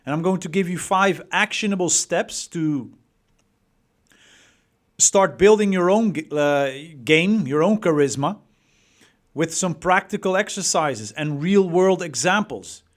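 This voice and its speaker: A high-voiced man with a Dutch accent delivers a motivational coaching talk at an unhurried pace. He leans on repetition and rhetorical questions to drive his points home. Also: animated. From Netherlands. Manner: emphatic, repeats words, rhetorical questions. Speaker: dating coach.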